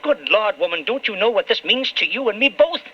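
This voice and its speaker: An actor playing an eager, placating political hopeful with a folksy, excitable manner in old-time radio drama style. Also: male voice, high-pitched, fairly quick. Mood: exasperated. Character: eager, glad-handing young political hopeful, placating and ambitious. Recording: dull old radio recording.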